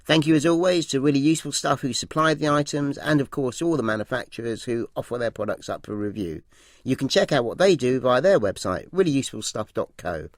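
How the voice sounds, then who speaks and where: A man hosting a comedy podcast, talking in a chatty and slightly halting way. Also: medium pitch, lively intonation, no echo, clean studio sound. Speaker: host.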